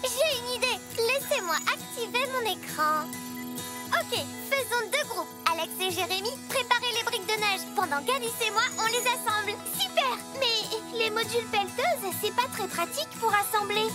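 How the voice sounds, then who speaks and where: An eager little child truck from a children's cartoon, speaks in an extremely high, childlike voice. It is plucky and exclamatory. Loud music plays underneath. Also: female voice, animated, moderate pace.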